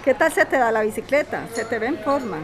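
Actress as blunt bar waitress amid crowd chatter. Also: female voice, a little high, lively intonation, a little fast, close to an everyday voice. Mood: matter-of-fact. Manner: frank, plain-spoken. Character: blunt, down-to-earth working bar waitress, married migrant. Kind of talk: audio fiction podcast acting. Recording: bar crowd noise behind.

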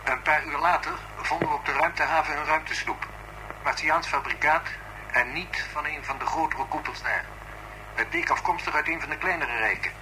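A man acting a sober, methodical police inspector with a formal, measured manner in a Dutch radio drama. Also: on the high side, natural voice. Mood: skeptical, firm. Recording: noisy radio play recording.

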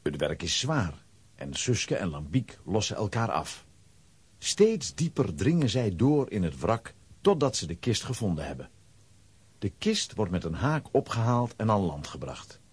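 A man narrating a children's adventure story in a scripted, dramatic style, with a husky voice. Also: on the low side, very animated, a little slow.

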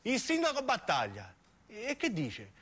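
An indignant townsman played by a male actor in a radio drama, with a southern Italian accent. He speaks in a raised voice with lively, swinging intonation. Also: sits high, unhurried, husky, voice pushed up, exaggerated voice. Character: indignant townsman spreading news of political betrayal.

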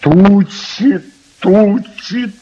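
A man's voice: high-pitched, drawn-out words, mellow, breathy edge, exaggerated voice. An actor playing a fretful, self-important bachelor astronomer in his fifties in a radio play. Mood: anxious, lamenting. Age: 50s. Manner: sighing, anxious, self-important. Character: fretful, obsessive old bachelor amateur astronomer. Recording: dull radio drama recording.

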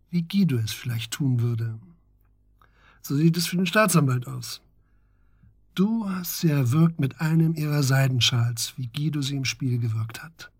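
Earnest investigator, husky, close dry studio sound, pressing, deliberate, radio play. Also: male voice, mid-range voice, a little mellow, no room sound. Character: grave, pressing male investigator confronting his friend. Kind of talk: German radio drama acting.

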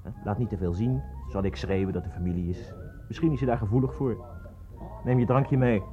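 A man's voice: lowish voice, a little fast, lightly acted. A man playing a brash, slangy barman of a shady bar in a radio play, cocky and chuckling, oily in manner. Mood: cocky, warning. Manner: cocky, chuckling, fawning to police. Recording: muffled radio play recording with music.

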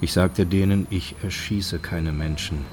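A sober male narrator with a deep voice delivers documentary radio drama text. His reading stays factual, and ambient noise is clearly present behind him. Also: fairly even intonation, measured pace.

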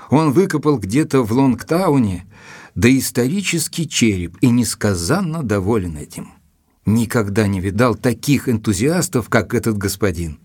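An actor playing an observant English gentleman who narrates his written report in a measured, expressive audio-drama storytelling style. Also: fairly low pitch, husky, dry recording. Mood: grave, pensive. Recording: dry studio recording with music behind. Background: music.

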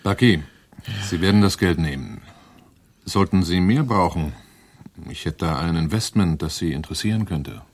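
Deep-voiced, husky, businesslike arms dealer. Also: male voice, lively intonation, moderate pace, long pauses. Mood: guarded, businesslike. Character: shrewd, courteous male arms dealer named Altmaier. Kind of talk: German radio drama acting.

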